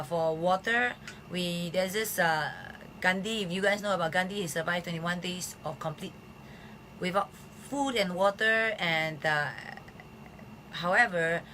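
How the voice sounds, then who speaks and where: Bright-voiced, hoarse woman hosting live. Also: fairly low pitch, a little hiss or hum, rough recording. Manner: chatty, with frequent fillers. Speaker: host of a live health show. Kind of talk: casual live-stream talk. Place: Philippines.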